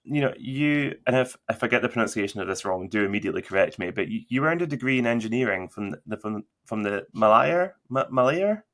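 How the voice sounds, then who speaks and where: He has a low voice and leads the interview in a relaxed, conversational way. His questions ramble, packed with fillers like "sort of" and "kind of," and he often restarts them before getting to the point. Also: fast talker, few pauses.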